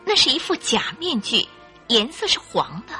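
A woman narrator tells a story in dramatic radio style. Her voice is bright and crisp-edged at a middle pitch, with lively rises and falls over faint music. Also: normal speed.

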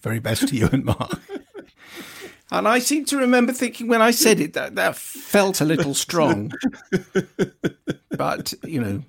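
A man's voice: sits high, sing-song, measured pace, gravelly, pauses often, fairly bright tone. A man hosting a radio film show, reading listener emails aloud in a chatty way with wry asides.

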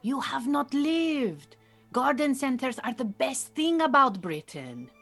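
An actress playing an Iranian refugee woman who keeps bees, a dry, earnest, patient mentor with measured phrasing in an audio drama. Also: high voice, big pitch swings, fairly bright tone, faint music behind, full character voice. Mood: enthusiastic. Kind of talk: naturalistic audio drama dialogue.

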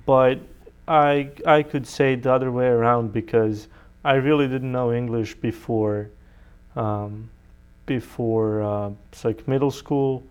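A man's voice: medium pitch, fairly even intonation, slow, darkish tone, smooth, highs cut off. A young man from Serbia, a native Serbian speaker, answering interview questions conversationally as a college student guest. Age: young adult.

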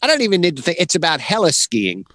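A man's voice: on the high side, huge pitch swings, fast talker, fairly bright tone, no echo, studio quality. A middle-aged man co-hosting a podcast in a casual, conversational style about writing. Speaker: podcast co-host. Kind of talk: casual chat about writing, with some reading aloud.

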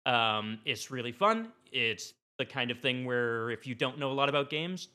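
A man's voice: mid-range voice, animated, moderate pace, pushed and bright. A man talking casually as a guest on a podcast.

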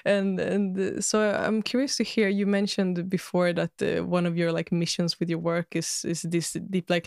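A woman's voice: on the low side, gravelly, no echo, clean studio sound. A woman hosting a podcast, asking long searching questions full of "like" fillers. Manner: warm, searching, many "like" fillers. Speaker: podcast host. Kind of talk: casual interview questions.

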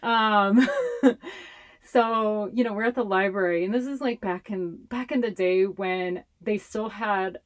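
A woman's voice: medium pitch, unhurried. Chatty young Hawaiian woman, laughing easily. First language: Hawaiian Pidgin English. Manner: chatty, laughs often while talking. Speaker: public health communicator, guest. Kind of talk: casual interview talk.

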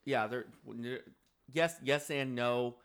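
A man's voice: mid-range voice, slow pace, fairly bright tone, smooth. A man in casual podcast banter, explaining himself in a laid-back, shrugging way. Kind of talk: casual banter among friends.

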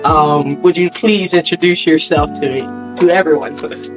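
A man's voice: a little high, fairly even intonation, normal speed, over music. A man hosting a podcast in casual chat, easygoing and agreeable, laughing often. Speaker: podcast host.

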